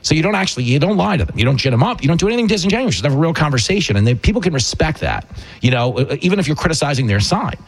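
A man hosting a comedic talk radio show, bantering in a jokey, animated way with a raspy voice. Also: a little high, rapid-fire. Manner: jokey, animated, slipping into mock dialogue.

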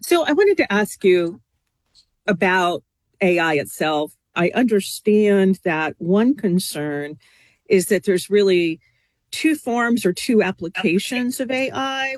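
Female interviewer, mid-pitched, very dry recording, conversational and probing, challenges while thinking aloud. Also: no echo.

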